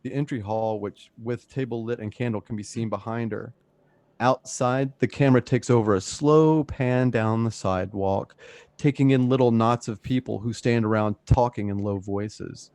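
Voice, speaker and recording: A man's voice: medium pitch, moderate pace, a little mellow, no echo. A man reading stage directions aloud as the narrator of a radio play. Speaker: host and narrator.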